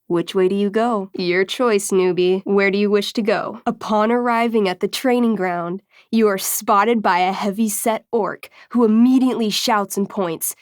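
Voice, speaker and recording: A woman's voice: mid-range voice, fairly even intonation, normal speed, hardly any pauses, no echo, clean studio sound, natural voice. An actress playing an enthusiastic young woman game master in a video game, moving between theatrical storytelling and casual, wry asides. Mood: dramatic, suspenseful. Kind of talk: video game dialogue, dramatic tabletop narration.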